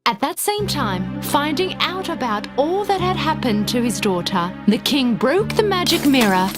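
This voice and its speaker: Highly animated woman storyteller. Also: moderate pace, loud music behind the voice. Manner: expressive storytelling. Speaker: narrator. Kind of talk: scripted children's fairy tale narration.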